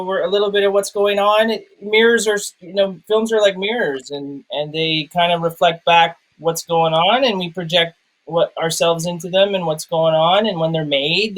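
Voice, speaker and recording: A man's voice: high voice, a bit bright, poor audio. A man co-hosting a casual film discussion, rambling and searching for his words as he goes. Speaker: film review co-host.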